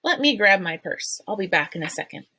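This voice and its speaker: A woman's voice: mid-range voice, very animated, brisk, bright, forceful tone, breathy edge, full character voice. A woman voicing a firm, coaxing neighbor mother in a storybook reading.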